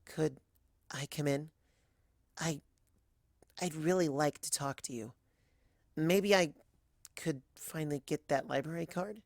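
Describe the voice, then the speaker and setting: A reader voicing a timid, halting young pony mare. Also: mid-range voice, creaky, a little mellow, no echo. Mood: nervous, hopeful. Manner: hesitant, halting, pleading. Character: timid young female pony, earnest and apologetic. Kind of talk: dramatic audiobook fan fiction reading.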